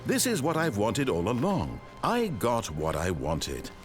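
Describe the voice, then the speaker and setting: An actor playing a dry, ironic male narrator of a video game, telling the story in a measured, scripted way. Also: mid-range voice, wildly swooping pitch. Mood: wry. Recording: game audio with faint music.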